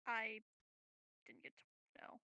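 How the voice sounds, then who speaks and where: A woman's voice: slow pace, sharp tone, no room sound. A woman chatting casually as a player in an online tabletop game, tentative and thinking aloud.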